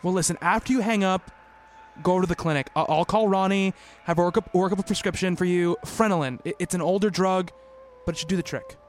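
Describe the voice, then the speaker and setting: An actor playing a concerned, skeptical doctor who narrates his investigation in a measured, formal radio play style. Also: high-pitched, natural voice. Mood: concerned, earnest.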